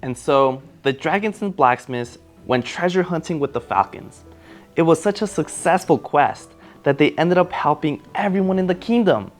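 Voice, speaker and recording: A woman's voice: sits low, normal speed. A woman giving scripted storybook narration for a children's play. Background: faint music.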